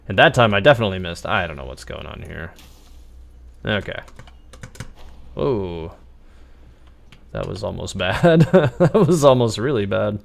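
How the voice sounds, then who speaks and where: A man gives casual live gameplay commentary in a fairly low voice. He is easygoing and talks through his thoughts as he plays. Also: gravelly. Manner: easygoing, thinking aloud. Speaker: game streamer.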